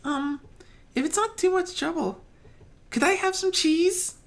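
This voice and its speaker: A man's voice: very high voice, a little slow, creaky, a bit bright, voice pushed up, heavily acted. A man voicing a timid, sweet, polite young female pony with a meek, hesitant manner, in a storybook reading. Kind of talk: fan fiction audiobook character reading.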